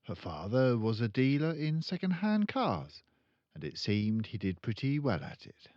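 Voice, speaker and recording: An older man narrates a children's story at a slow, careful pace. His intonation is hugely varied and expressive, and the recording is slightly dull and lo-fi. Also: mid-range voice, no room sound. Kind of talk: expressive children's book read-aloud.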